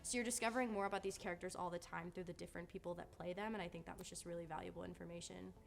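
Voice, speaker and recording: A woman's voice: lowish voice, steady tone, rapid-fire, gravelly. Young woman reflecting, music underneath. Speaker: student theater director. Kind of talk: reflective interview talk.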